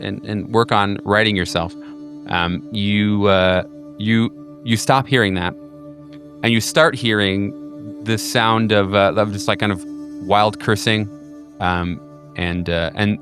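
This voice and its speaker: A man's voice: fairly low pitch, a bit bright. A man narrating as game master of a horror tabletop game, rambling with fillers and restarts.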